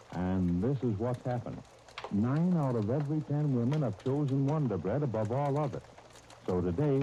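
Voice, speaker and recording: A man's voice: mid-range voice, dark tone, muffled recording, a little hiss or hum. A man reading scripted commercials and announcements as a 1930s radio announcer, on a vintage broadcast disc.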